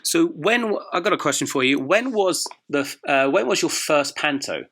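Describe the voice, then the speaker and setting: A man's voice: on the high side, expressive, moderate pace, fairly bright tone. A man hosting an interview, asking curious questions with hesitant restarts. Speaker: interviewer host. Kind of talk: conversational interview.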